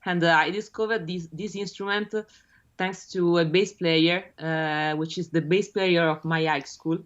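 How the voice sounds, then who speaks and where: Italian woman from rome, kinda low voice, lots of uhs and little laughs, muffled call audio. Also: fairly even intonation, a little slow. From Rome, Italy. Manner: laughs often, hesitant with many fillers. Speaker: guest bassist. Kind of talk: casual interview over video call.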